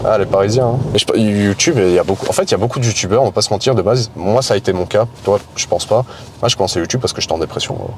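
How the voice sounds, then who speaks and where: A man's voice: on the low side, racing, a little hiss or hum. A man in his thirties chatting casually as a guest in a car, rambling in a loose, slangy way. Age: 30s. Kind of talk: casual chat. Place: inside a car in city traffic.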